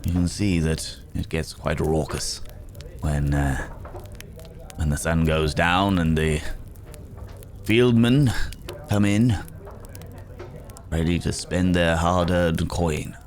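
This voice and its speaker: A man acts out a weary werewolf wanderer in an intimate ASMR roleplay. His voice is extremely low and he speaks slowly, musing in hesitant, broken phrases like a philosophical travelling companion. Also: fairly even intonation. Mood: wry, relieved.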